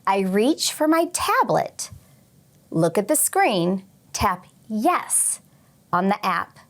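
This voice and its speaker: A woman teacher reading a picture book aloud to young children expressively, pausing for short teaching asides. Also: medium pitch, sing-song. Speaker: teacher and read-aloud host.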